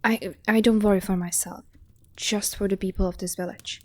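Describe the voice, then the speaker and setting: A woman's voice: mid-range voice, steady tone, normal speed, darkish tone, no echo, lightly acted. A woman playing a composed, decisive and shrewd village advocate in a fantasy audio drama, speaking with poise. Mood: earnest, resolute. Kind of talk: fantasy audio roleplay acting.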